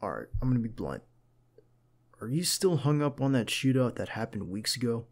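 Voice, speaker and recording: A man playing a protective, caring bodyguard in an ASMR roleplay, talking conversationally and with gentle insistence to his boss. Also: medium pitch, big pitch swings, normal speed. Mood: reassuring. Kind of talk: ASMR roleplay acting, one side of a dialogue.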